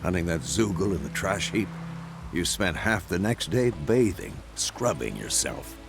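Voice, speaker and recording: Grizzled old monster hunter: very deep, gravelly, dry teasing mentor, game score under it. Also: male voice, big pitch swings, a little slow, exaggerated voice. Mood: wry. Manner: dry, teasing, matter-of-fact. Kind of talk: video game voice acting. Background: game music.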